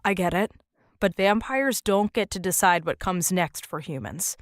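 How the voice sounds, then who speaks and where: A woman's voice: lowish voice, moderate pace, no echo, clean studio sound, close to an everyday voice. A woman playing a guarded, principled human negotiator in an audio drama, candid and matter-of-fact. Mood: firm.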